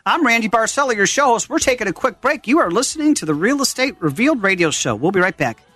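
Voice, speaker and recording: A man's voice: high voice, big pitch swings, quick pace, bright, forceful tone. A man hosting a radio talk show, upbeat and enthusiastic, speaking into a studio mic.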